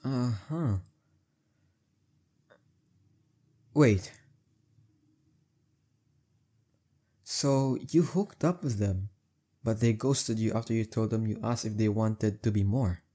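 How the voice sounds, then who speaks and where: A young man acting as a heartbreaker friend in an intimate roleplay audio, talking casually and haltingly with emphasis and a soft husky edge. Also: lowish voice. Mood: surprised, curious.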